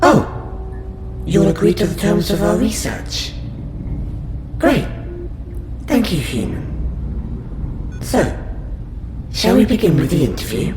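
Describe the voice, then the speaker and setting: Man playing a polite alien scientist, lively and echoey. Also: sits high, noisy background, exaggerated voice. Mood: pleased, inquisitive. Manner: formal, precise, courteous, measured. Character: polite, patient male alien scientist abductor interviewing a human. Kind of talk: audio roleplay addressed to the listener. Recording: roomy echo, loud background music bed. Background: music.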